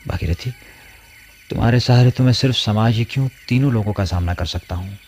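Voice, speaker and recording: A man's voice: lowish voice, brisk, a little mellow, slight background noise, natural voice. A man acting an ardent but uneasy village lover in a Hindi radio play, theatrical and fervent.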